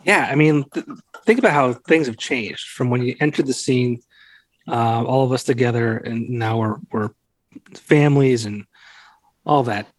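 Late-thirties man, mid-pitched, dry close sound, joking, blunt host. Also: moderate pace, no room sound, low-quality recording. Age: 30s. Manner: joking, blunt, casual swearing. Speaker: podcast host. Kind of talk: casual podcast interview.

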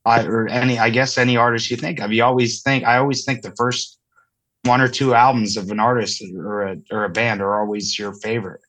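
A man's voice: medium pitch, fairly even intonation, a little fast, fairly bright tone, poor audio. A young man, a country musician guest, musing and teasing in casual chat. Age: young adult.

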